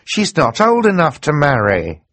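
A man's voice: a little high, wildly swooping pitch, unhurried, fairly bright tone, no room sound, pitched above the performer's own voice, full character voice. A man voicing a shocked, protesting actor in an audiobook. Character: shocked adult stage actor.

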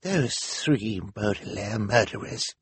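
A man voicing an angry old volunteer with poor eyesight, speaking slowly and accusingly in a storybook reading. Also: lowish voice, lively intonation, slightly rough, no echo, exaggerated voice. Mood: weary accusation.

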